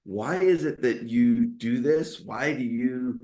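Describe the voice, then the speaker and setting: A man's voice: mid-range voice, takes it slowly, mellow. Enthusiastic male interview host. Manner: enthusiastic, rambling questions with many restarts. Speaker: podcast host.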